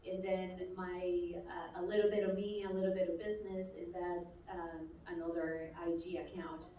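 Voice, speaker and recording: A woman's voice: on the low side, flat delivery, normal speed, warm tone. A woman from Colombia speaks on a business panel, thinking aloud and restarting her sentences as she goes. Her voice carries through a big, echoing hall, picked up from some distance, with a faint hum of room noise behind. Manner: thoughtful, hesitant restarts. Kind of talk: panel discussion answer. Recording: echoey hall, mic far off.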